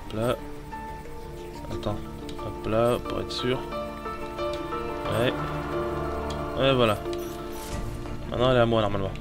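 A man with a cold giving casual, excitable commentary while playing a video game, swearing often. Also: mid-range voice. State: has a cold. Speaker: gamer. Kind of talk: let's play commentary. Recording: voice over loud game sound.

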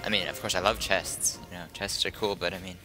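A young man commentates on a video game. His voice sits low, and he rambles casually with a sardonic edge. Game music plays loudly underneath. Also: little pitch movement, a little fast. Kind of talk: casual let's play commentary.

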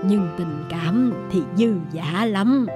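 A woman voicing a kindly old village elder in a cartoon folk tale, caring and unhurried. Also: medium pitch, animated, music in the background. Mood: kindly, reassuring.

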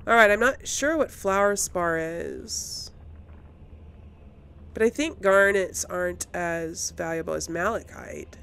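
A woman's voice: lowish voice, takes it slowly, creaky, a bit bright, clear, resonant tone. A woman doing casual let's play commentary as a gaming host, musing and thinking aloud.

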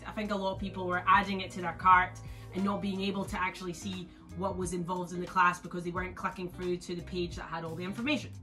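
A Scottish woman in her thirties talks at an ordinary pitch, friendly and methodical as she walks listeners through each step. She is recorded by a camera at table distance in an indoor yarn studio, with faint music behind her. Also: bright, forceful tone. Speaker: crochet teacher. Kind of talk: promotional class introduction.

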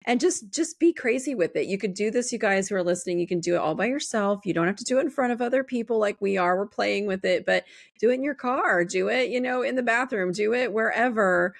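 A woman's voice: medium pitch, vocal fry, dry recording, clean studio sound. A woman hosting a podcast interview, bubbly and playful in casual chat. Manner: bubbly, playful, quick bursts of enthusiasm. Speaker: podcast host.

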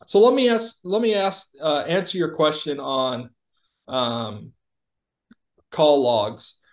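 Man casually demonstrating software. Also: fairly high pitch, slow. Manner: informal, explanatory. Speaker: company executive giving a product demo. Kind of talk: casual software demo and explanation.

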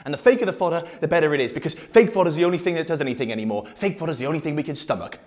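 An actor playing a desperate, furious man raging at his audience in a halting, repetitive dramatic monologue. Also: on the high side, animated, darkish tone, natural voice. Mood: bitter, intense. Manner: halting, repeating, swearing, building to outbursts.

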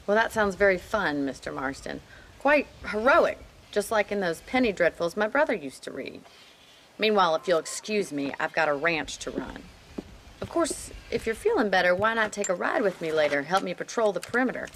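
An actress playing a brisk, wry young ranch woman in a western video game, with an American accent and a dryly sarcastic, bossy manner. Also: mid-range voice, sing-song, a bit bright, a little hiss or hum. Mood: dry, sardonic. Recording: noticeable outdoor ambience with horse hoofbeats.

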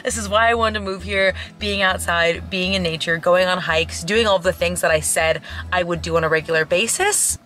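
A woman's voice: fairly low pitch, brisk, fairly bright tone. Chatty young woman vlogger, roomy sound. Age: 20s. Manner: chatty, upbeat, informal. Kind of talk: casual vlog chat to camera.

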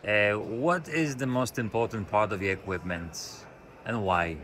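A man's voice: fairly low pitch, a little slow, a bit bright, slight background noise. A man hosting the channel, giving a plain intro and asking direct interview questions.

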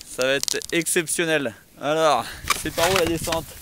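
A man from France in his thirties giving excited, playful commentary while skiing down a snowy mountain, filmed on an action camera. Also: fairly high pitch, normal speed, background noise. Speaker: freeride skier filming himself. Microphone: helmet or pole camera. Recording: loud music over outdoor camera audio.

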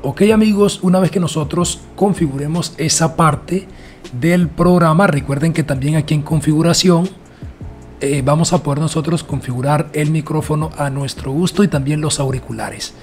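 A man narrating a casual software tutorial in a chatty, repetitive way. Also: on the high side, normal speed, soft background music. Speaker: tutorial host.

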